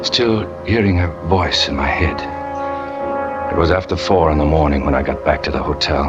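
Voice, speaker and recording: A man's voice: extremely low pitch, dull audio. An actor playing a jealous, tormented young circus catcher who narrates his own story in a 1940s radio drama. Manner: brooding first-person confession, rising to anguish. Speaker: narrator and lead character.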